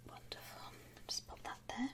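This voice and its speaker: A woman whispering softly and breathily in an attentive, gentle customer-service ASMR roleplay, close to the microphone. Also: normal speed. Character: polite, attentive female vampire coffin-shop consultant. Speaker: ASMR roleplay performer, playing a shop consultant.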